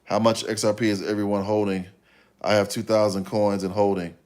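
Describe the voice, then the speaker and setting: A man's voice: fairly low pitch, flat delivery, fairly quick, a little mellow. A man in his fifties hosting a livestream, chatting casually with viewers in a rambling way and often repeating himself. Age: 50s. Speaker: livestream host.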